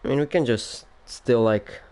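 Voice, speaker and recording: A man's voice: medium pitch, moderate pace. A young man with a Polish accent mixed with Finnish, chatting casually and rambling on a voice call before commentating a race, tired from no sleep. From Poland. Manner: rambling, laughs often, trails off quietly. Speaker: racing league commentator. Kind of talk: casual chat.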